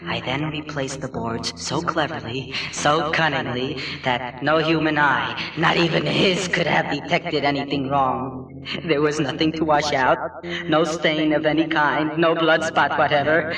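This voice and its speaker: A man's voice: fairly high pitch, fairly even intonation, a bit bright. A man playing a mad, cunning murderer who grows frantic, in a theatrical horror story reading. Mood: sly calm. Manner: theatrical, slow deliberate delivery. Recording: roomy, distant, music behind.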